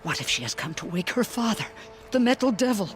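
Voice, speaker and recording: An old female zealot from a fantasy game, played by a woman. She speaks formally and accusingly, with bitter conviction, in a middling pitch. Faint music sits underneath. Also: sing-song, brisk, close to an everyday voice. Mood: scornful, alarmed. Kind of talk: video game voice acting.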